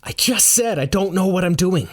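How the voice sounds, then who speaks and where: Narrator guy doing a freaked out man in a story, voice jumping up high, exasperated and blurting. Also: lively intonation, moderate pace. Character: flustered male storyteller, frozen in panic, blurting and swearing.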